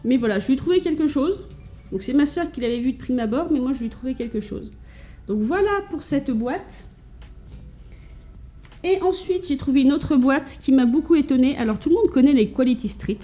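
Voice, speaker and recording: A woman from France chats casually to her camera at home. She talks in a mellow, warm voice pitched a little high, stopping and restarting her sentences with plenty of fillers as she shows her finds. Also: lively intonation, a little fast, slight background noise. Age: adult with children. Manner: chatty, hesitant, lots of fillers and restarts. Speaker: vlogger showing thrift finds.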